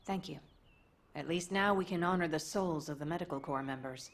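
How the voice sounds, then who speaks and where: A woman's voice: deep voice, a little fast. An actress voicing an earnest, capable, sombre female squad veteran in a video game, speaking seriously.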